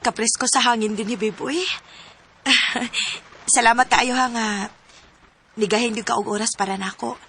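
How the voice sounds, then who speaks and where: An affectionate, attentive Filipina girlfriend in a radio drama. Her voice sits fairly high, with faint ambient noise behind it. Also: steady tone, moderate pace, pauses often, sharp tone. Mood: sweet, concerned.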